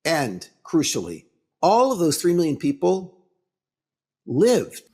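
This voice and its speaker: A man giving a religious lecture as a rabbi, with halting, deliberate phrasing and emphatic pauses. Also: fairly high pitch, huge pitch swings, mellow, breathy edge.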